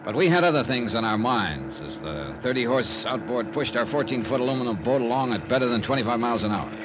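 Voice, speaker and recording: Guy doing old radio detective hero, brisk and shrewd, voice swings around a lot, noisy vintage recording. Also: mid-range voice, lively intonation, natural voice. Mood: crisp, narrating. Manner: crisp, clipped, businesslike delivery. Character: brisk, shrewd male freelance insurance investigator hero. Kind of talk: 1950s radio drama acting and narration.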